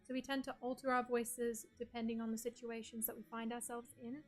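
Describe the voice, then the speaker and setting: Australian woman, game streamer; soft and breathy, a touch high-pitched, chatty, answers chat as she goes. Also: little pitch movement, a little fast, faint music behind. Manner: chatty, reads chat messages aloud and answers them. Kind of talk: casual chat with stream viewers.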